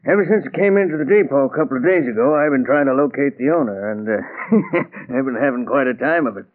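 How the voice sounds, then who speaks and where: A man's voice: mid-range voice, animated, natural voice. Man playing folksy American depot man, tinny radio. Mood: amiable, chatty. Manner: casual, chuckling, hesitant drawn-out phrases. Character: folksy, chatty depot delivery man, unhurried, good-natured. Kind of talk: 1940s radio drama acting. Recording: tinny narrow-band old radio recording.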